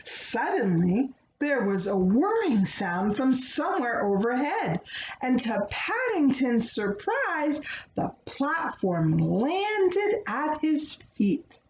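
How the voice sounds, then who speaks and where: A woman reading a children's book aloud in an expressive, dramatic way, as if explaining to young listeners. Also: sits high, slow pace. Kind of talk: expressive storybook read-aloud with asides explaining words. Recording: roomy echo, clear background noise.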